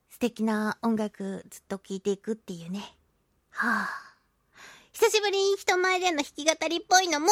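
A woman's voice: a little high, fairly bright tone, no echo, studio quality. A woman hosting a casual radio talk show, chatting expressively and playfully with listeners as she reads their mail. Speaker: radio show host and voice actress.

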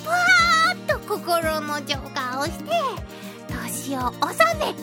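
A woman hosting a casual solo radio chat, talking in a playful, animated way with touches of mock-grand phrasing. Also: very high-pitched, takes it slowly, over loud music. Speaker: radio show host and voice actress.

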